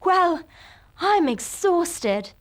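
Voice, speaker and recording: A woman's voice: very high-pitched, sing-song, very slow, full character voice. A woman voicing an earnest, anxious young school pupil in a video game, prompting others urgently. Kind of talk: video game character acting.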